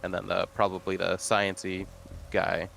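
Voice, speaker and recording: A man's voice: low-pitched, completely flat delivery, normal speed, creaky, fairly bright tone, slight background noise. A man chatting casually as a player in a tabletop game, wry and hesitant as he thinks aloud.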